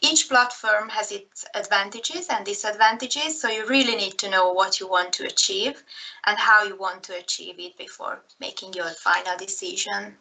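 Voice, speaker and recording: A woman's voice: medium pitch, pushed and bright, poor audio. A woman from Hungary in her thirties with a Hungarian accent, giving a recorded slideshow talk in a careful manner with frequent fillers. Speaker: presenter.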